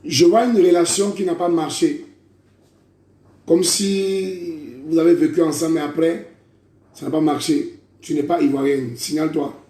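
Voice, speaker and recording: A man's voice: fairly high pitch, fairly even intonation, measured pace, dark tone. A man preaching a prophetic sermon, declaiming in repeated phrases and calling listeners brother. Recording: echoey room.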